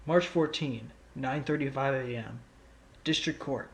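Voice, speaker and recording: A man's voice: medium pitch, unhurried, vocal fry. Wry, laid-back fox lawyer played by a man. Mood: reflective, confident. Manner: wry, casual, teasing. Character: sly, laid-back male fox, ex-con rookie lawyer. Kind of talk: amateur audio drama with first-person narration. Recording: music bed under the voice.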